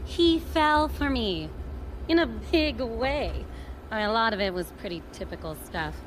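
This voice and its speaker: Sassy young American dame, higher-pitched. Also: female voice, expressive, unhurried, slight background noise, rough recording. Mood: frank, wry. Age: young adult.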